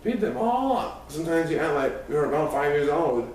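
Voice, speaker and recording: A man's voice: on the high side, sing-song, brisk, a little mellow. A sensible, scolding teenage girl voiced by a male reader chides in a storybook tale. The sound is distant and heavily echoing, with faint noise. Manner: chiding, snapping back. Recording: very echoey room, distant mic, faint noise.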